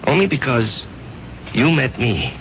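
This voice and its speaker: A man's voice: mid-range voice, lively intonation, unhurried, vocal fry, noisy background. Anxious young man, old radio drama. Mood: anxious, pleading. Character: anxious, haunted young man leaving his sweetheart. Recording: dull old radio recording, loud music behind.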